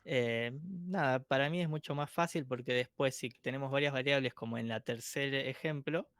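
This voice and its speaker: A man's voice: mid-range voice, normal speed, fairly bright tone, no echo. A man, co-teaching an online workshop in a casual, chatty way, laughing easily. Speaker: co-instructor.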